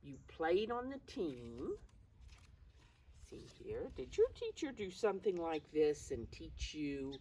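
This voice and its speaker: An older woman reading aloud to young children, pausing to explain things in simple words. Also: sits low, big pitch swings, mellow. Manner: addresses children simply, calls self by name in third person. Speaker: preschool storytime reader. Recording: distant mic, faint background noise.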